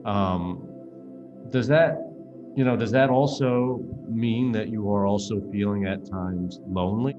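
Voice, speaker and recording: A lower-pitched man hosts a remote podcast interview, feeling his way through gentle questions full of ums and restarts. His audio sounds a little dull and lo-fi. Music beds play under the talk. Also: measured pace. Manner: gentle, searching questions with frequent fillers. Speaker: guest podcast host, professor.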